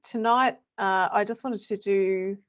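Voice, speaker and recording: A middle-aged Australian woman with a croaky voice gives a chatty webinar presentation as an education manager, with many fillers and restarts. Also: medium pitch, steady tone, a little slow. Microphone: computer mic on a video call. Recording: dull lo-fi webinar audio.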